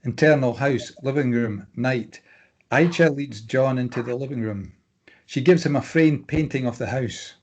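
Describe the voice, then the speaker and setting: Man, medium pitch, scripted narration, stage directions reader, plain measured delivery, clipped scene headings.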